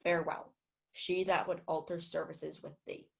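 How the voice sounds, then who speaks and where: Young woman doing a puffed-up gullible steward in a shakespeare radio play, lowish female voice, musing grandly to himself. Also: level tone, normal speed, gravelly. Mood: grandly reading. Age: young adult. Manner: theatrical, self-important, musing aloud. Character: pompous, self-deluded male steward, gullible and lovestruck. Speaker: student actor. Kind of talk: Shakespeare comedy as 1940s-style radio play.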